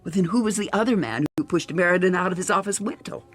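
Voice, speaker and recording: An actress playing an eager, helpful detective's assistant in a radio drama, with a husky voice. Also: female voice, on the low side, fast, faint music behind, close to an everyday voice. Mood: curious.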